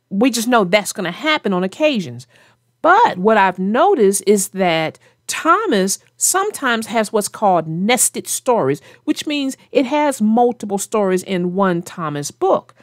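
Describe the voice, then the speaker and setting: A woman's voice: on the high side, dry recording, clean studio sound. A woman hosting a children's read-aloud, narrating a storybook and chatting casually about it.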